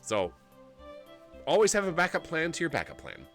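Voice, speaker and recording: A man's voice: on the high side, moderate pace, soft background music. A man in his forties streaming a story game, reading its narration aloud and joking, laughing often. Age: 40s. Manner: animated and jokey, laughs often, rambles.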